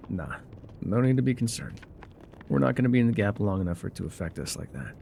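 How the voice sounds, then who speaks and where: A man playing a laconic, weathered frontier guide in a calm, haunted, musing ASMR roleplay, with a low gravelly voice and folksy pauses. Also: a little hiss or hum. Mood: reassuring. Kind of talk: immersive ASMR roleplay monologue.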